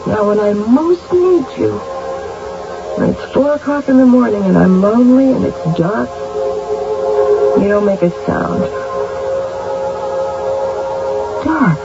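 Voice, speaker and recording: A woman's voice: on the high side, animated, unhurried, creaky, pauses often, over music. An actress playing a lonely, guilt-ridden, sleepless middle-aged mother in a theatrical, fretful 1970s radio drama style. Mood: lonely, pleading. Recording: dull, faintly noisy vintage radio recording.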